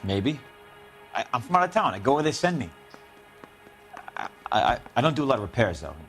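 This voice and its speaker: Slangy repairman under interrogation; evasive, indignant, mid-pitched with lively swings, video game drama, faint music behind. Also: a little fast. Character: evasive, defensive working-class repairman suspect, male.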